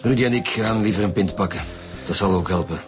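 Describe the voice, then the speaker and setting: A Flemish man playing a gruff, irritable but protective chief inspector in a radio drama. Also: lowish voice, fast, close to an everyday voice. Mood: businesslike, dry. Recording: background music under radio drama dialogue.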